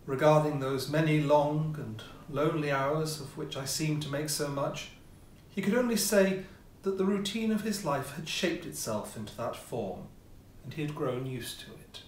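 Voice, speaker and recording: A man reading a classic ghost story as scripted narration, with measured, theatrical phrasing and pauses. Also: on the high side, animated. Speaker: audiobook reader, amateur player. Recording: roomy echo, distant mic, faint noise.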